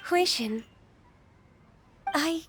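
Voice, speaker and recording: A woman voicing a gentle, earnest, dutiful female secretary in a video game, polite in manner. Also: very high-pitched, lively intonation, very slow, slight background noise, exaggerated voice. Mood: moved, reflective.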